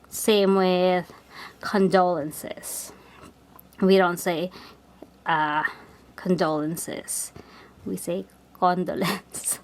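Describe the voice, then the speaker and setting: Unhurried Filipino woman, chatty stitch-along. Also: lowish voice. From Philippines. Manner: chatty, thinking aloud while stitching, mutters to herself. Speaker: cross-stitch vlogger. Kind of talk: casual stitch-along chat.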